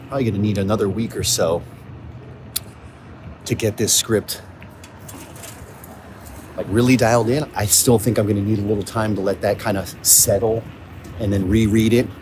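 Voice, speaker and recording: A man speaking casually in a self-recorded video diary on location, thinking aloud in a halting way with repeated words. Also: fairly low pitch. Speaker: audio drama writer and producer. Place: coffee shops and outdoors, on location. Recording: noisy on-location recording with ambient sound.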